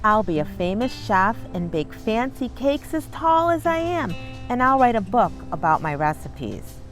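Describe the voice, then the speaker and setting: A woman's voice: on the high side, very animated, unhurried. Encouraging woman reading aloud over music. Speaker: host of a children's read-along. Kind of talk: storybook read-aloud with warm asides to viewers. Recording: music behind the voice. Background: background music.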